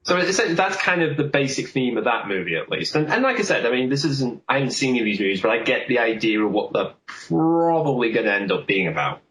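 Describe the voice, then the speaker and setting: A man's voice: mid-range voice, very animated, quick pace, hardly any pauses, a bit bright. A man in a casual film discussion, talking in a rambling, explanatory way. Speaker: podcast host. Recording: roomy, echoey sound.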